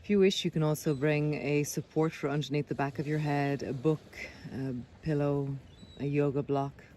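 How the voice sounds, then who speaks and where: A man leading a guided body scan meditation, speaking gently and unhurriedly with pauses between phrases. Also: a little high, fairly even intonation. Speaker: meditation guide, breath coach. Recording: clear background noise of sea sounds. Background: sea sounds.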